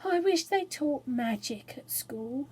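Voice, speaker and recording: Woman as a hopeful, high-voiced young girl. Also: expressive, takes it slowly, a little mellow. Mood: wistful. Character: hopeful, imaginative young girl in a storybook adventure.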